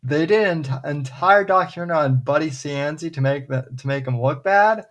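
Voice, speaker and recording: A man hosting a podcast in casual chat, talking in a halting way with many pauses and restarts. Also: on the high side. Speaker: podcast host.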